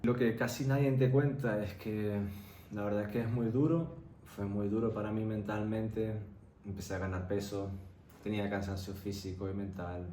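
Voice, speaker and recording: Low, mellow Canarian man, echoey room. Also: steady tone, moderate pace. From Venezuela-born, grew up in Tenerife, Spain. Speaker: vlogger. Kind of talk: candid personal storytelling to camera. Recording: roomy echo, faint background noise.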